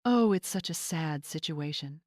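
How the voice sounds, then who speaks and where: A woman's voice: low-pitched, lively intonation, a little slow, a little mellow, dry recording, clean studio sound. A woman voicing a scheming, imperious bride in an audiobook, theatrical and syrupy with a snappish edge. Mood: falsely sorrowful. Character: scheming social-climbing bride, fake-sweet and imperious.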